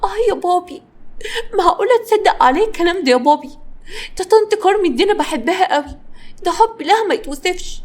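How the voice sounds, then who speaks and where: A woman's voice: very high voice, animated, fast talker, pitched above the performer's own voice. A woman voicing a mischievous girl who puts on exaggerated, plaintive hurt in an audio story. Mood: feigned shock. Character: overacting mischievous girl feigning wounded sorrow to her daddy.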